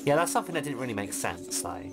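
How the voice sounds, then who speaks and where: A man's voice: mid-range voice, sing-song, fast. A man giving casual co-commentary on a video game, talking things over as it plays. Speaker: gaming commentator. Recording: game music behind the voice.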